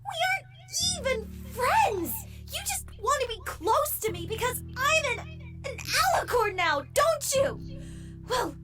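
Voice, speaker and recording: A woman's voice: very high voice, very animated, unhurried, far from the mic, full character voice. A woman voicing an exasperated, suspicious young female pony princess in a cartoon, with an emphatic, indignant, girlish delivery. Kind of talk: animated cartoon fan drama. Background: background music.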